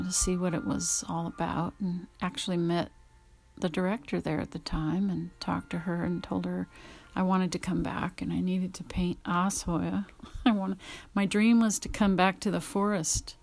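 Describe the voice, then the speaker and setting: Slow, creaky-voiced American woman. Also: on the low side, darkish tone. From United States. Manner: halting, reflective, emotional. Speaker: painter. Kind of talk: informal personal talk about own paintings.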